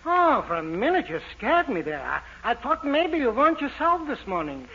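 High-pitched, excitable old-radio shopkeeper. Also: male voice, moderate pace, slightly rough, dull audio, full character voice. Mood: puzzled, teasing. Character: excitable, kindly, puzzled shop proprietor. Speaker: shop proprietor in a radio sitcom. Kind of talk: 1940s radio comedy acting. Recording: old 1940s radio, noisy, audience.

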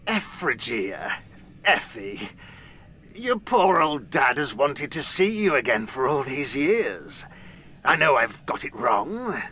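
A man's voice: medium pitch, big pitch swings, slow, pushed and bright, a little hiss or hum, full character voice. An actor playing a vain, manipulative fairy king and father who wheedles, pleads and threatens theatrically. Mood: pleading.

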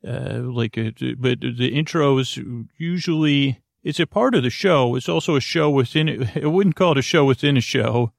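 A man hosting a bedtime podcast, with a creaky, dulcet voice, rambling and stumbling off topic with many fillers. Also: mid-range voice, normal speed, hardly any pauses, no room sound, clean studio sound. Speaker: sleep podcast host. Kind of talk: meandering bedtime podcast intro.

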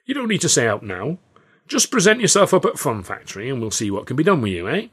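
A man's voice: mid-range voice, sing-song, quick pace, fairly bright tone, husky, pitched above the performer's own voice. A man voicing a sly, overbearing older theatre boss, falsely genial and wheedling in a storybook reading. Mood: scheming, bargaining. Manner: wheedling, pointed, colloquial bargaining.

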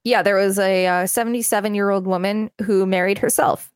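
A woman's voice: mid-range voice, steady tone, brisk, smooth, dry recording, studio quality. A woman co-hosting a podcast, reading aloud and commenting casually. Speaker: podcast co-host. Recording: music playing behind the voice.

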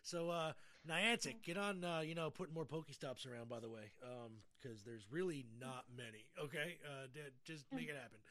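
A man's voice: a little high, expressive, moderate pace, few pauses, pushed and bright. A middle-aged man chatting casually and enthusiastically about video games as a podcast host, with slangy, talkative phrasing.

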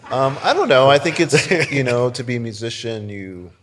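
A man's voice: mid-range voice, animated, normal speed, a bit bright. A man from South Texas speaking casually as an interview guest, easygoing and joking, feeling his way through his answers. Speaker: podcast guest, winemaker.

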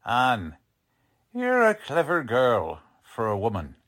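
Cocky, swooping 1940s police lieutenant. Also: male voice, medium pitch, wildly swooping pitch, slow, fairly bright tone, full character voice. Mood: smug. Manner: brusque, slangy, ungrammatical tough-cop talk. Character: cocky, condescending male police lieutenant, brusque 1940s gumshoe. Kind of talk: 1940s radio drama acting.

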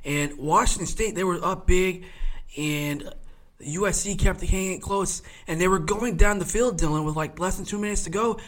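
A man in his late twenties, co-hosting a casual sports podcast. He talks in a chatty, rambling way, fills his sentences with fillers and often laughs. His voice sits a little above the usual male pitch. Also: normal speed, fairly bright tone. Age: 20s. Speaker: podcast co-host.

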